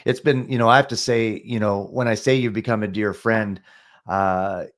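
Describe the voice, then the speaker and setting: A man's voice: fairly low pitch, steady tone, normal speed. A man hosting a podcast interview, enthusiastic and encouraging toward his guest. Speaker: podcast host. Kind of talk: casual interview chat.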